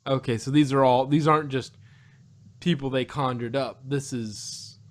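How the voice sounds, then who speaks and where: A man with a mid-pitched voice chats casually as a podcast co-host. He rambles through his points, circling back to rephrase them, and slips into animated asides. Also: normal speed. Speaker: podcast co-host reviewing audio dramas. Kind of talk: casual unscripted chat.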